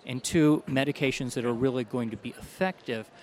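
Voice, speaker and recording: A man's voice: mid-range voice, darkish tone. Man explaining as a doctor. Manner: measured, explanatory. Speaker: doctor, allergy specialist. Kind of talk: interview answers.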